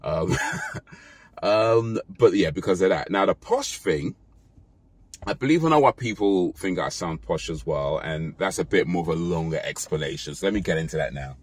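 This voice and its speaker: British man, deep voice, dry close sound, chatty vlog from a car, loose and rambling. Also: moderate pace, breathy edge, no echo. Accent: British English. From Britain. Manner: relaxed, rambling, with fillers and self-corrections. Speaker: vlogger. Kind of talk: casual talk to camera. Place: parked car, indoors. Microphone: phone camera held close.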